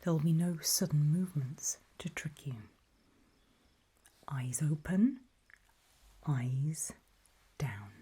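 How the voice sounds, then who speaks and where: A woman whispering softly and breathily in an ASMR roleplay, giving calm, deliberate instructions with pauses, close to the microphone. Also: low voice, husky. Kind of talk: whispered ASMR roleplay, scripted guide briefing.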